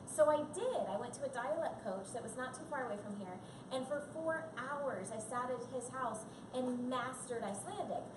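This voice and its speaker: A high-voiced woman talks earnestly and chattily as a panel guest, her words full of fillers. She sounds distant from the mic, and the room rings around her. Steady background noise from the shop sits under her voice. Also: moderate pace, hardly any pauses, reverberant. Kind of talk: live panel talk. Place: coffee and comics shop event.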